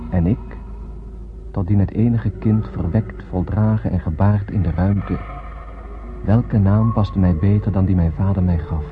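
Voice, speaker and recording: A man's voice: deep voice, steady tone, a little slow. An actor playing a dreamy, solemn space wanderer who narrates his tale in a poetic, declamatory radio play manner. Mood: solemn, reflective. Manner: poetic, declamatory, dramatic, with hushed phrase endings. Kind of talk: scripted radio play monologue. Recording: tinny narrow-band radio recording with music.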